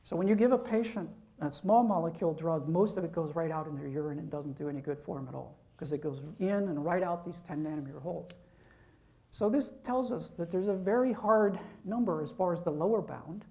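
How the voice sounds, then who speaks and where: A man's voice: fairly high pitch, brisk, mellow. A man, a professor, giving an informal university lecture, talking conversationally and checking in with "okay?".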